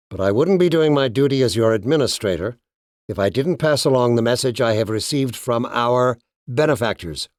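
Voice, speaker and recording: A polished, authoritarian administrator from a video game, played by a male actor, addressing his subjects like a statesman. He is pompous and formal, with measured oratory in a mid-range male pitch and a dry, close sound. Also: dry recording, lightly acted. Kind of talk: scripted dramatic speech in a video game.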